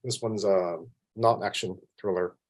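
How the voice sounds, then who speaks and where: A man from Vancouver with a Canadian accent, chatting casually as an interview guest in a modest, self-deprecating, halting way. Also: low voice, steady tone. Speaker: interview guest, film composer.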